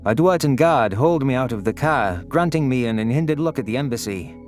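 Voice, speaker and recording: Male narrator, medium pitch, scripted first-person sci-fi storytelling, soft music bed. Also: animated, fairly quick. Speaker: narrator of audio stories.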